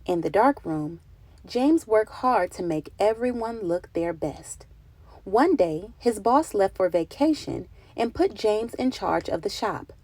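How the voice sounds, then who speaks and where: A woman reading a picture book aloud for children, with expressive, deliberate phrasing and dramatic emphasis. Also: mid-range voice, no room sound. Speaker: children's read-aloud narrator. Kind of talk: expressive storybook narration for children.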